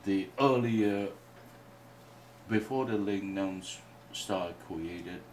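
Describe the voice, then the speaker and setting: A man whose first language is Mandarin, teaching a painting workshop in a halting way with many restarts. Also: low-pitched. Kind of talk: hesitant workshop explanation. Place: indoor workshop room. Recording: distant mic with faint noise.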